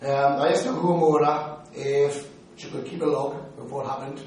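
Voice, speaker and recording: A man's voice: a little high, normal speed, darkish tone, recorded from a distance, slight background noise. Geordie man lecturing in an echoey hall. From Newcastle upon Tyne, England. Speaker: paranormal investigator giving a talk. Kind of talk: lecture to an audience. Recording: very echoey hall, recorded from afar.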